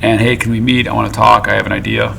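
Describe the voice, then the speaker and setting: Gravelly, bright-voiced male podcast host. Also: on the low side, flat delivery, quick pace, a little hiss or hum, rough recording. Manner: playful, rambling, prompts guests to tell stories. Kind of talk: casual chat.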